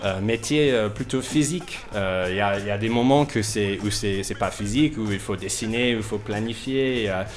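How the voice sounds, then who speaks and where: A man hosting a casual radio interview, with a hesitant, halting way of talking and sentences that trail off. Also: on the low side, faint music behind. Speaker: radio host. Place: radio studio.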